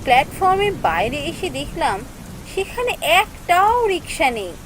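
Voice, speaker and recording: A woman acts as an earnest young female office worker in a cartoon story. Her voice is high and swings expressively as she talks and narrates. There is faint noise behind her. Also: very animated, moderate pace, fairly bright tone, full character voice, poor audio. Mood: anxious.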